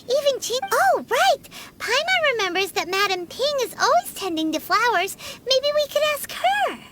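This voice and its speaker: A woman voicing a tiny, childlike fairy companion in a game, squeaky, chirpy, excitable and blunt. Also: normal speed, noisy background. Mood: curious, excited. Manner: speaks of herself in third person, chirpy. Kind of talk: animated game dialogue.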